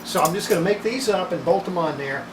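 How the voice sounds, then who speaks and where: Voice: medium pitch, fast, echoey room. Older folksy workshop tinkerer, noisy room. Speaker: radio restorer presenting own repair. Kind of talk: casual hands-on workshop narration. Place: small cluttered indoor electronics workshop.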